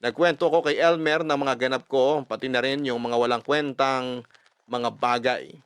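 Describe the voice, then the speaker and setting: A man narrating a first-person love story dramatically, expressive and emphatic, mixing Tagalog and English. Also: medium pitch, moderate pace, clean tone, dry recording. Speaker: storyteller reading a listener's letter.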